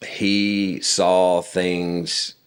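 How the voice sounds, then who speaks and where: A very low-pitched man in his forties speaks as a podcast guest. He tells stories about his family in an unhurried, conversational manner, often pausing on fillers. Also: clear, resonant tone. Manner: relaxed storytelling with frequent fillers. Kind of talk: casual conversation.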